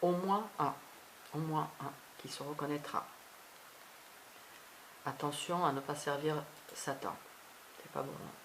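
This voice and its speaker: A woman whose native language is not French records an unscripted personal vlog, speaking in halting fragments that often trail off. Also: deep voice, steady tone, fairly bright tone, slight background noise, rough recording. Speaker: video blogger.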